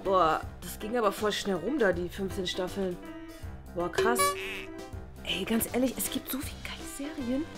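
A woman's voice: medium pitch, moderate pace, lightly acted. A young woman playing a series-addicted teenage slacker in a comedy sketch, slangy with exaggerated exclamations. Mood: casual, bored. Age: young adult. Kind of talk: acted comedy sketch for online video. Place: living room, on a sofa. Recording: music behind the voice.

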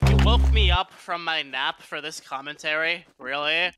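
A man's voice: fairly high pitch, moderate pace, heavy background noise. A man delivering scripted voice-pack quips as a game announcer, with a sardonic manner.

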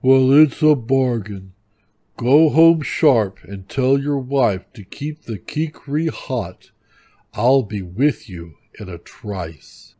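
A man playing a slow-talking, gluttonous storybook bear. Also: medium pitch, lively intonation, dark tone. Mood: greedy, resolved. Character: big gluttonous, inquisitive, friendly male bear.

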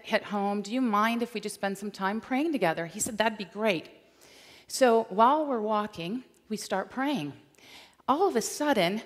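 A middle-aged woman from Michigan giving an animated, storytelling chapel talk on a large stage. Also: medium pitch. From Michigan, USA. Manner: animated, gesturing while walking the stage. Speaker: chapel speaker. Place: large dark auditorium stage. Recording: long hall reverb.

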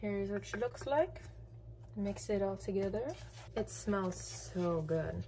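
A woman's voice: medium pitch, lively intonation, slow, mellow, a little hiss or hum. A young woman chatting casually through a bake-with-me vlog in her home kitchen, narrating each step as she goes. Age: young adult. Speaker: vlogger.

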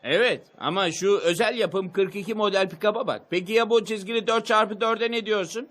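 A man's voice: sits high, a little fast, fairly bright tone. A man playing a boastful, laid-back young car fanatic in a Turkish-dubbed cartoon. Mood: grumbling.

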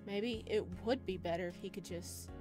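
Female game streamer, middle pitch, playful and expressive read-aloud with casual reactions, music underneath. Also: moderate pace. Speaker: streamer playing a visual novel. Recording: music playing behind the voice.

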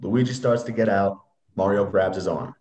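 A man's voice: lowish voice, moderate pace, darkish tone. A young man reading screenplay stage directions aloud as narrator on a video call. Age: young adult. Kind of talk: dramatic screenplay read-aloud. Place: room at home, on a video call. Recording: roomy, echoey video-call audio.

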